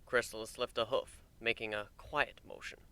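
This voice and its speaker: A man's voice: on the low side, steady tone, normal speed, sharp tone, smooth, no echo. A man narrating a story as a dramatic reading.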